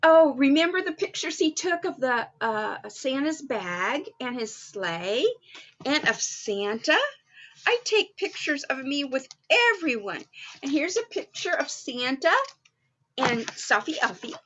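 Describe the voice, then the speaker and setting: A woman's voice: high voice, expressive, a little slow, a bit bright, dull audio, slight background noise. A woman reading a children's story in a sing-song, child-directed way, chatting to young listeners in the role of Mrs. Claus. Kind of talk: children's story time read-aloud with asides.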